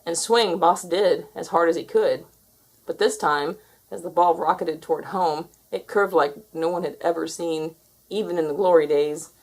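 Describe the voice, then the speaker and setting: A woman reading a children's story aloud as an expressive narrator. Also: fairly low pitch, moderate pace, rough recording. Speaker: storybook reader.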